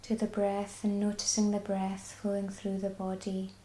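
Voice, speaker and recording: A woman with a Scottish accent guiding a body scan meditation, speaking slowly and soothingly. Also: mid-range voice, completely flat delivery, echoey room, slight background noise, poor audio. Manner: slow, soft and soothing. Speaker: mindfulness guide.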